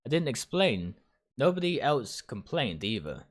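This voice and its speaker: A man reading first-person game narration aloud dramatically, in short, deliberate phrases. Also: medium pitch, sing-song. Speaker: game streamer reading a visual novel aloud. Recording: music behind the voice.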